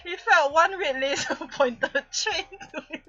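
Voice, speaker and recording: A woman's voice: very high voice, unhurried, a bit bright, highs cut off. A woman with a Singaporean accent playfully reading fan fiction on a livestream, often breaking into giggles. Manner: giggly, often breaking into laughter mid-sentence. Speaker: livestream host.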